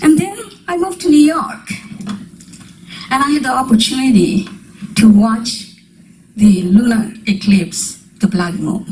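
A woman's voice: fairly high pitch, a little slow, long pauses, a little mellow, recorded from a distance, a little hiss or hum, low-quality recording. A middle-aged woman from Bangladesh giving a storytelling talk with poems, holding a microphone in a community meeting room. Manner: storytelling, wondering tone, breaks into light laughs. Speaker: guest speaker. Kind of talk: lecture with poetry reading. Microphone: handheld microphone.

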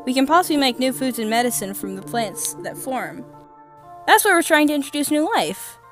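A woman voicing a sweet, bubbly young female crewmate in a comic dub, with a cutesy, expressive delivery. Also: sits high, fairly quick, music in the background. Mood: earnest. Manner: cutesy, sing-song, expressive.

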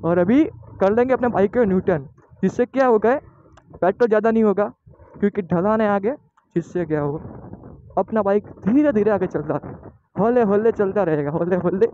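Young male motovlogger from Gujarat, chatty and excitable, high voice, muffled helmet-mic audio with wind. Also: normal speed. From Gujarat, India. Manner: chatty and excitable, calls viewers guys. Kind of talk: casual motovlog narration while riding. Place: outdoors on a moving motorbike.

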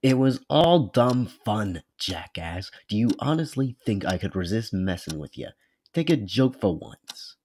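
A man's voice: on the low side, big pitch swings, unhurried, darkish tone, slight background noise. A man playing a mocking, menacing teenage school bully in an amateur comic dub. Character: teen male school bully, sneering and threatening.

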